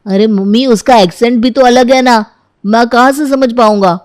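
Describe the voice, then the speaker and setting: A woman's voice: a little high, moderate pace, a little mellow, lightly acted. A woman voicing an earnest schoolboy who defends himself to his mother in a moral story. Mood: defensive.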